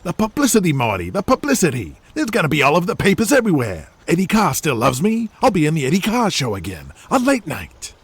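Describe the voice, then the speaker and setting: A man voicing a washed-up, cocky, excitable little movie star in an audio drama, brash and pleading like a wise guy. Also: on the high side, fast, few pauses, breathy edge, dry recording, voice pushed up, heavily acted. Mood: scheming, excited. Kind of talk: comic audio drama acting.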